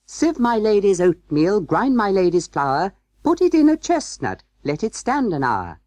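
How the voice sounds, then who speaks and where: A storybook narrator voicing tiny, mischievous mice in a small, shrill, sing-song voice. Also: very animated, a little slow, darkish tone, no echo. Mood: merry, gaily chanting. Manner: sing-song chanting of rhymes.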